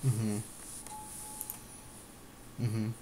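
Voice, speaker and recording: A man's voice: on the low side, completely flat delivery, very slow, mellow, rough recording. A man co-hosting a gaming podcast, chatting casually in short, agreeable remarks while playing a card game. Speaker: podcast co-host commentating on own card game play.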